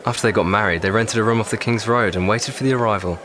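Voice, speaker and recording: An actor playing an educated, articulate young English private of the First World War, speaking formally with dry irony in an audio drama. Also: male voice, on the low side, fast talker, crowd noise, close to an everyday voice. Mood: reflective. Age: young adult. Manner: formal, wordy, dryly ironic.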